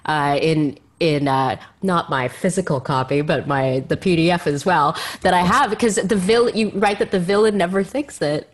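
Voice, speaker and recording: A woman's voice: fairly low pitch, normal speed, hardly any pauses. A woman hosting a conversational interview, circling tentatively toward her questions. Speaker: podcast host.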